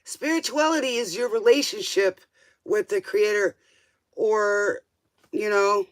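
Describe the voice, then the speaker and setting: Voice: mid-range voice, measured pace, no echo. A livestream tarot host chatting casually in a rambling, informal way, drifting between thoughts and tossing out questioning asides.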